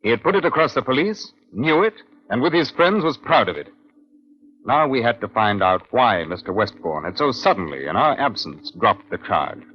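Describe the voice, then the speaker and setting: A man's voice: mid-range voice, very animated, natural voice. A man playing a firm, probing British Scotland Yard detective in an old radio crime drama. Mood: measured narration. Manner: measured, questioning. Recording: muffled old radio recording.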